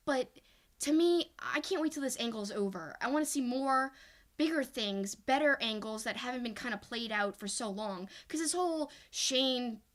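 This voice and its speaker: A woman's voice: a little high, fairly bright tone. A woman co-hosting a talk podcast, giving casual, opinionated sports commentary in an emphatic, fan-like way. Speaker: podcast co-host.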